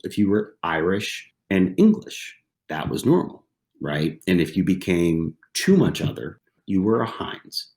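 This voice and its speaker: A man's voice: low-pitched, sing-song, a little slow, a little mellow. A man in his forties from southwestern Pennsylvania, a podcast guest telling stories in a casual, conversational way, often repeating phrases and tagging lines with "right?". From southwestern Pennsylvania, USA. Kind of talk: casual interview storytelling.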